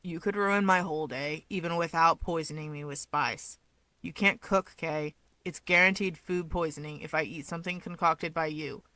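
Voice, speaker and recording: A woman voicing a smug, sardonic young man who likes to needle his rival, deadpan and dismissive, in a fan fiction audiobook. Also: low-pitched, normal speed, dry recording, voice pushed down.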